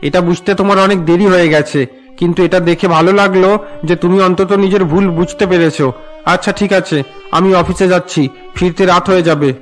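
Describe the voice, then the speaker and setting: Man playing husband and father, stern but caring, high male voice, admonishing, cartoon melodrama, background music. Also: steady tone, brisk, natural voice. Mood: reproachful.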